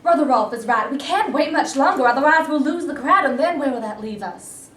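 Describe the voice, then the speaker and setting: A woman's voice: sits high, expressive, a little fast, fairly bright tone. A woman in a staged reading playing an urgent, restless civil-rights activist. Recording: echoing room, distant mic, faint noise.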